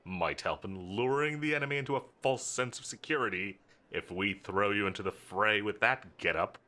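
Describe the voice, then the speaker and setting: A man's voice: lowish voice, moderate pace, pushed and bright. A man playing a smug, menacing villain boss in an audio roleplay, with a theatrical, mocking, condescending delivery. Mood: sarcastic, threatening. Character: smug, menacing male supervillain boss toying with his enemy.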